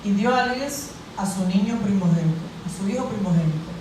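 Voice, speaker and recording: A woman's voice: medium pitch, expressive, a little fast. A woman in the congregation reading verses aloud and answering the pastor's questions. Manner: reads and answers aloud from the pews. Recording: echoey church, far from the mic, with noise.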